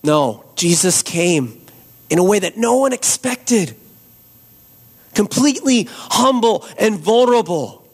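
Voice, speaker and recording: A hoarse man preaching a Christmas Eve sermon and leading prayer as a pastor, slow and earnest. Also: high-pitched, big pitch swings. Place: church.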